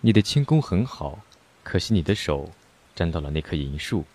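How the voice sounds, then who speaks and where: A man voicing a cool low-voiced swordsman. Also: sing-song, moderate pace, darkish tone. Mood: cool teasing. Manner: cool, teasing, unbothered. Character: mysterious calm young male swordsman. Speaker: voice actor. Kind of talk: Chinese audio drama acting.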